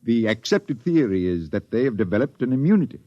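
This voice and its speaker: An actor playing a guilty expedition doctor, speaking hesitantly and apologetically in a 1950s radio drama, recorded as an old broadcast. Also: male voice, medium pitch, big pitch swings, a little fast, no echo, highs cut off, natural voice. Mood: worried.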